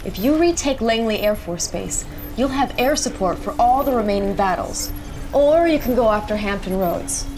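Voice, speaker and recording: A woman's voice: on the high side, lively intonation, normal speed, natural voice. Woman as military ops officer doing a game mission briefing, serious n precise, firm, music behind. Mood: businesslike. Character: brisk, serious female military operations officer.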